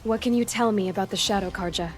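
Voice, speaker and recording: A woman's voice: medium pitch, fast, darkish tone, faint music behind, natural voice. A woman playing an inquisitive, level-headed young huntress in a video game, speaking plainly and directly. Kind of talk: video game cutscene acting.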